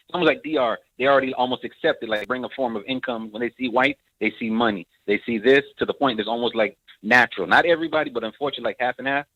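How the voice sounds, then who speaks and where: A man's voice: mid-range voice, no room sound. A man calling in to a radio show, rambling and leaning heavily on "like". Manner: rambling, full of "like". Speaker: caller. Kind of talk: phone-in talk. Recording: dry, tinny phone line.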